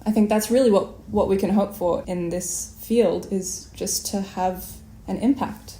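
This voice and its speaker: Echoey, mellow-voiced woman hosting an interview. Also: mid-range voice, steady tone, unhurried. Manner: effusive, encouraging. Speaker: podcast host. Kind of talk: warm conversational interview. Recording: echoey room.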